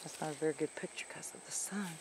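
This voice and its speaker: A man's voice: fairly high pitch, fast, creaky. A man chatting casually outdoors while filming a home video. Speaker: home video camera operator. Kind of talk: casual chat behind camera. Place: outdoors in a yard by a house. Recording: faint outdoor camcorder noise.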